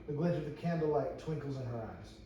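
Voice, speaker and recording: A man's voice: medium pitch, fast, mellow. Guy reading stage directions at a table read, trips on words, really echoey room, bit of noise. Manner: stumbles and repeats phrases while reading. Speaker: narrator at a script table read. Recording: echoey room, far from mic, faint noise.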